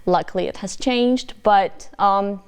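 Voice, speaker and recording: A woman from Poland whose first language is Polish, a university language faculty member answering interview questions in a measured, explanatory way. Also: medium pitch, clear, resonant tone.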